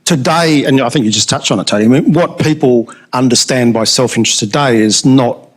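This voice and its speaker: A man in the audience at a panel discussion, making a long comment in a measured, searching way. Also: mid-range voice, breathy edge. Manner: measured, searching, slows down to make a point. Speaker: audience member.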